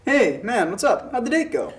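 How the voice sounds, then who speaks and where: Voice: medium pitch, sing-song, normal speed, lightly acted. A performer playing a laid-back, blunt male college roommate in a table read of a drama script. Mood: casual.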